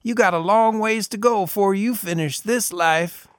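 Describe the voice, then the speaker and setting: A tinny, high old desert drifter. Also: male voice, measured pace, a bit bright, clean tone, no echo, clean studio sound. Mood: knowing. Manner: drawling, folksy, chatty. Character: skinny old bearded desert drifter, cheerful and sly.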